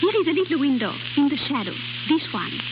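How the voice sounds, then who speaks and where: An actress playing a passionate young French nightclub singer in 1930s radio drama style, with a French accent and theatrical phrasing. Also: female voice, sits high, sing-song, quick pace. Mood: tender, romantic. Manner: theatrical, foreign-tinged phrasing. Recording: muffled, noisy 1930s radio broadcast with hum.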